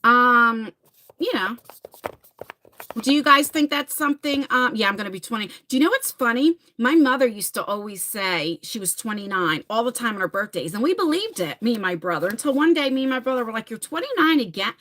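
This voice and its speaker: Chatty bright-voiced woman in her forties. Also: a little high, very animated, brisk. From New Jersey, USA. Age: 40s. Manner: chatty, laughs often, talks straight to viewers by name. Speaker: livestream host. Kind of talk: casual live-stream chat reading viewer comments.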